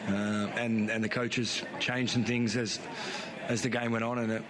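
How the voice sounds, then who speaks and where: A hoarse man, a head coach answering post-match press questions frankly, with many fillers and restarts. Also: mid-range voice, flat delivery, a little slow, background noise.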